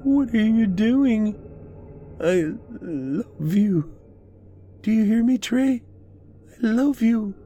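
A frantic young woman from a horror audiobook, acted out by a man, pitched high and swinging widely. The delivery is shrill and urgent, full of anger and pleading, with some noise behind it. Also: expressive, takes it slowly, heavily acted. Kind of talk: horror audiobook character acting.

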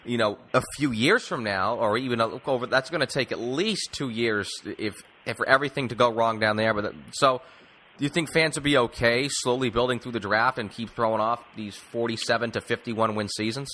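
A man's voice: medium pitch, brisk, sharp tone. A man hosting a casual sports interview, putting wordy, opinionated questions to his guest. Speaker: sports show host.

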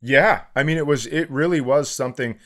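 Man, mid-pitched voice, comedy podcast co-host, casual banter, halting repetitive delivery, comic exaggeration. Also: a bit bright.

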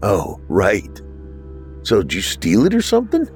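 A man's voice: on the low side, wildly swooping pitch, a little slow, faint music behind. A man voicing a casual, skeptical older teenage brother in a story, slangy and exasperated. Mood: amused disbelief.

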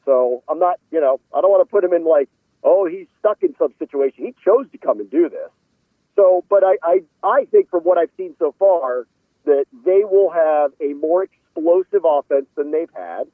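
A man giving conversational football analysis as a guest, measured and opinionated, qualifying his points as he goes. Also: fairly high pitch, lively intonation, no echo. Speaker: sports analyst interviewed as a guest. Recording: phone-like radio call audio.

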